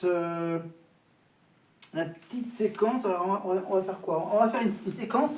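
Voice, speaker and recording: High-pitched man, casual vlog talk, halting with many restarts, roomy echo, distant from the mic. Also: a little mellow. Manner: hesitant, repeats words and restarts often. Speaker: vlogger. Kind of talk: casual chat to camera. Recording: echoey room, voice from off mic.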